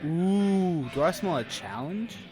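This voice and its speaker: A man's voice: high-pitched, lively intonation, slow pace, dark tone, soft background music. A man playing a small, wisecracking young man who needles his friends in an audio drama. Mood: teasing. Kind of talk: audio fiction dialogue.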